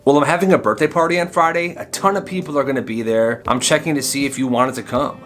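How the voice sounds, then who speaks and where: A man's voice: medium pitch, steady tone, quick pace, fairly bright tone, faint music behind, close to an everyday voice. A man playing a mocking, cackling schoolboy bully in a comedy skit. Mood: casual, baiting. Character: mocking schoolboy bully inviting then insulting a classmate.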